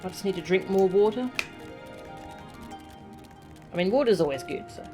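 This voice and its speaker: Woman streamer, casual chat with stutters and murmurs; mid pitch, faint game music behind. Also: expressive, normal speed, dark tone. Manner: chatty asides with stutters and trailing murmurs.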